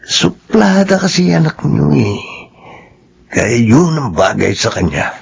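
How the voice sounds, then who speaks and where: An actor playing a callous young villain in a Filipino radio melodrama, sneering and gravelly. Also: male voice, mid-range voice, sing-song, heavily acted. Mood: smug. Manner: gloating, sneering, unhurried. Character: smug, callous young villain fleeing the law. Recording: dull, lo-fi sound.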